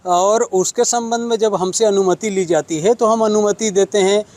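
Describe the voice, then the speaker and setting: A man speaking as a government official, giving a halting, measured and formal statement to reporters. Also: high voice, steady tone, a little mellow.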